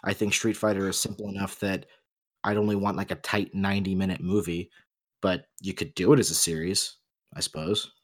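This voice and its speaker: A man with a fairly low voice talks as a podcast co-host. He lays out opinions in a casual but emphatic, explanatory way, as if in an ongoing discussion. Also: flat delivery, fairly quick.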